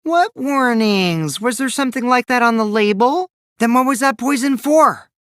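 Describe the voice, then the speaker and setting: A taunting, mischievous teenage boy from an anime video game. His pitch leaps around as he swings theatrically from gleeful mockery to cold remarks. The recording is dry, with no room sound. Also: animated, normal speed, fairly bright tone, no echo. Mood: incredulous, needling.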